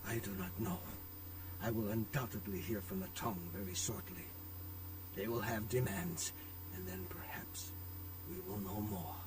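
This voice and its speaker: A man's voice: fairly low pitch, expressive, normal speed, close to an everyday voice. An actor playing a middle-aged Chinese restaurant owner in a video game, a worried father who speaks formally and courteously. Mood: grave, formal. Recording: distant game audio with background noise.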